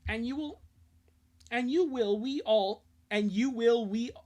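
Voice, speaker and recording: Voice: mid-range voice, slow, darkish tone, pitched above the performer's own voice, lightly acted. A streamer voicing an earnest adult who soothes and reassures children in a fan-fiction reading. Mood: reassuring, earnest.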